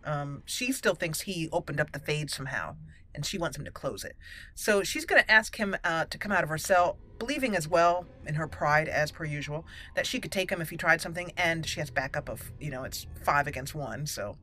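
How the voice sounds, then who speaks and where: A woman hosting a roleplay let's play, musing deliberately and slipping into her character's dialogue. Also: low voice, expressive, bright, forceful tone. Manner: musing, deliberate, thinking aloud. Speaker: gaming channel host roleplaying a character.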